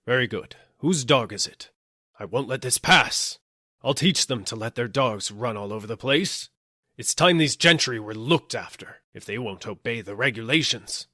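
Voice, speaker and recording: A man's voice: mid-range voice, normal speed, pushed and bright, no room sound, studio quality. A man voicing a pompous, bossy police superintendent in an audiobook, with a stern, self-important, barking manner. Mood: stern, indignant. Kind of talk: audiobook character acting.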